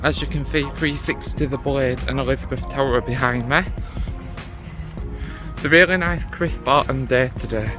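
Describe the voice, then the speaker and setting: A man's voice: mid-range voice, moderate pace. Sniffly man vlogging outdoors. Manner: chatty, enthusiastic, filler-heavy. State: has a cold, sniffling. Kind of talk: casual walking vlog. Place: outdoors in a city park. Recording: music playing underneath.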